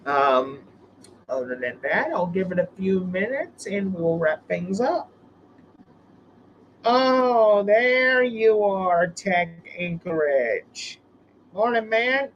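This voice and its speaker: A man hosting a casual live stream, his voice hoarse from being sick, rambling with restarts and fillers. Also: high-pitched, expressive, takes it slowly, lo-fi sound, slight background noise. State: hoarse from illness.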